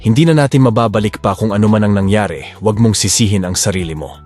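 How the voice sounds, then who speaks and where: A man's voice: on the low side, fast, a little mellow, faint music behind, lightly acted. A man playing a protective, passionate young suitor in a Tagalog romance audio drama. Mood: tender, firm.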